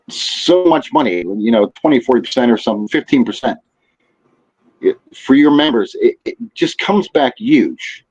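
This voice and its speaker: Slightly muffled, lo-fi audio of a man as a podcast guest. He has a medium-pitched voice and gives advice in a casual, rambling way, often dropping in filler phrases. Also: darkish tone. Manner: rambling, peppered with "you know". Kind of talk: casual chat, giving advice. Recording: dull, lo-fi audio.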